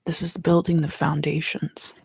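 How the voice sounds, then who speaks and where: A woman giving a soft-spoken, partly whispered ASMR tarot reading in a breathy, gentle, unhurried way, pausing between short phrases close to the microphone. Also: low-pitched, little pitch movement, a little hiss or hum. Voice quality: breathy, hushed. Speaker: tarot reader hosting an ASMR video.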